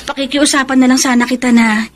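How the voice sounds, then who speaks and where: A woman's voice: sits high, fairly even intonation, fast. A woman playing a devoted, hurt wife in an emotional Filipino radio drama. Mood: worried, lovesick. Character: devoted, hurt wife clinging to her unfaithful husband.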